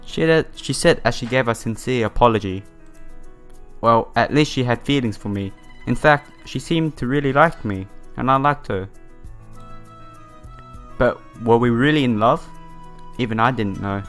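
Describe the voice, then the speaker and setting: A man's voice: mid-range voice, moderate pace, pauses often, fairly bright tone. A man with a sore, slightly broken voice doing a casual game playthrough, reading visual novel text aloud and adding his own comments. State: sore throat. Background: game music.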